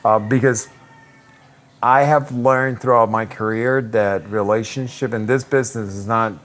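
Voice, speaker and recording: A man, a real estate broker answering interview questions in a relaxed, reflective way with many restarts. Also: medium pitch, unhurried. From Puerto Rico. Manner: easygoing, reflective, frequent restarts and "um".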